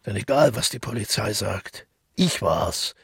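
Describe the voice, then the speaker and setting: A male actor playing a brooding, self-doubting former stage actor in a radio drama monologue, musing haltingly in a husky, breathy voice. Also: lowish voice, animated, a bit bright, no room sound, clean studio sound, exaggerated voice. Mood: troubled confession. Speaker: narrator and lead of a radio play. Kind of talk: intimate first-person radio drama monologue.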